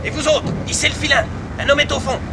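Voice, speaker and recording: An actor playing a commanding police officer in a French radio serial, barking out orders. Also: male voice, extremely high pitch, level tone, fast talker, a crowd in the background. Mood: urgent, commanding. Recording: very noisy old radio recording.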